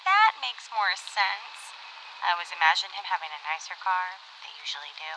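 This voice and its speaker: A woman's voice: on the low side, animated, moderate pace, sharp tone, poor audio. A woman acting a nosy, chatty sports mother in an audio drama, with a probing, insinuating manner. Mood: prying, falsely polite. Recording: rain noise behind the voice.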